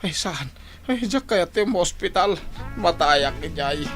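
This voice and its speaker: An actor playing a stubborn, quick-tempered old father in a radio drama, grumbling and groaning with an Ilocano accent. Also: sits high, lively intonation, music in the background. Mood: whining, pained. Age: older.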